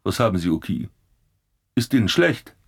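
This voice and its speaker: An actor playing a blunt, grumbling police commissioner with a sardonic, gossipy manner in a German radio play. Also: male voice, lowish voice, big pitch swings, normal speed, vocal fry, slightly rough, exaggerated voice. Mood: sardonic, exasperated. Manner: sardonic, colourful.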